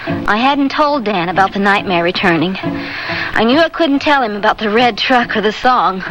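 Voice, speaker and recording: An actress playing an anxious wife haunted by fears of death, speaking haltingly in a radio drama. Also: female voice, mid-range voice, lively intonation, natural voice, poor audio. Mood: fearful, weary. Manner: fearful, halting. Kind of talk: radio drama acting with first-person narration. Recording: old radio recording with loud music.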